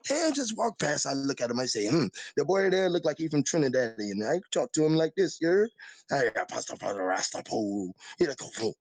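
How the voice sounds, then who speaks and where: A man's voice: a little high, big pitch swings, a little fast, creaky, darkish tone. A man in a put-on Caribbean island accent, rambling and boasting in profane casual chat on a call-in show.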